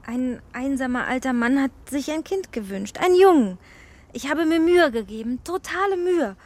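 Young fairy pupil, children's audio drama: fairly high pitch, blunt, unsure of herself, kind underneath. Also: female voice, expressive, normal speed, darkish tone, no echo. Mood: dejected. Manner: self-deprecating, matter-of-fact. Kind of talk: children's radio play acting.

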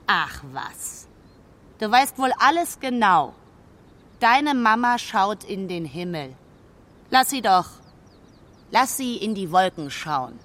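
A woman's voice: medium pitch, very animated, slow pace, long pauses, a bit bright. A woman playing a haughty, aloof female hawk in a children's radio play, speaking in a brusque, proud way. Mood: haughty, dismissive.

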